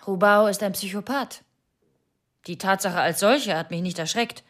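An actress in a German radio drama playing a distraught, obsessive wife, speaking in an intense, brooding, insistent monologue. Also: female voice, medium pitch, normal speed, dry recording, clean studio sound, lightly acted. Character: distraught wife obsessed with her husband, a killer.

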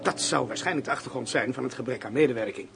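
Radio play: man playing cautious police inspector, formal and official, mid-pitched, slight background noise. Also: fast talker, gravelly, close to an everyday voice. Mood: formal, reassuring. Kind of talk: radio drama dialogue.

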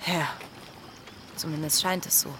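A woman's voice: deep voice, steady tone, a little slow, pushed and bright, slight background noise. An actress playing a wanted pirate woman in a German radio drama, earnest and intimate, tender toward her lover yet defiant and realistic. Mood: resigned. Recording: music behind the voice.

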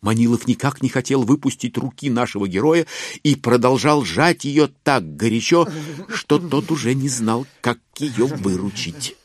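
An actor as a wry narrator, reading a classic novel as dramatic literary storytelling. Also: male voice, medium pitch, lively intonation, moderate pace, slightly rough, no room sound. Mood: amused, measured.

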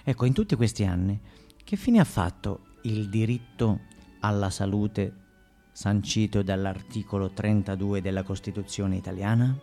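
A man narrating a scripted documentary monologue for the stage, measured and grave, with deliberate pauses. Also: lowish voice, creaky, dark tone, soft background music.

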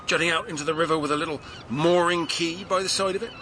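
An actor playing a polite, affable English police inspector with an English accent, courteous and probing in a radio drama. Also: male voice, fairly high pitch, fairly even intonation, fast, pushed and bright, a little hiss or hum. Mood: businesslike.

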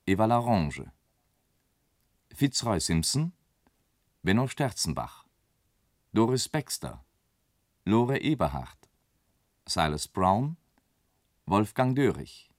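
A man announcing scripted radio play credits in a formal, measured manner. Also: on the low side, dry recording, studio quality.

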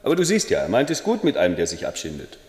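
A man playing a proud, gruff Greek sailor in a German radio play. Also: medium pitch, huge pitch swings, fast talker, darkish tone. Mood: proud, stubborn. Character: proud Greek sailor home after two years at sea. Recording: long reverb.

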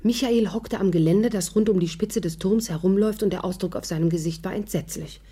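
A woman's voice: medium pitch, brisk, mellow, breathy edge, close to an everyday voice. An actress in a 1950s radio play, narrating her own adventure in a composed, scripted storytelling manner. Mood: grave, suspenseful.

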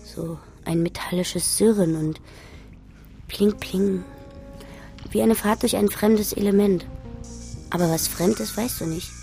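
An actress in a radio drama playing a pensive daughter who narrates her memories in a hushed, contemplative way. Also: medium pitch, vocal fry.

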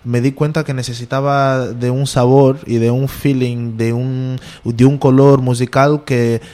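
A man's voice: medium pitch, little pitch movement, a little slow, dark tone. A man from Portugal, a native Portuguese speaker with a Portuguese accent, talking as a guest musician in a casual radio interview, often hesitating and repeating words as he searches for them.

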